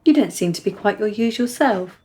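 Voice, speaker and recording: A woman's voice: mid-range voice, lively intonation, brisk, a little mellow, natural voice. Woman playing a precocious, forthright young girl. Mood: insistent. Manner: articulate, formal wording, insistent. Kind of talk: radio play acting.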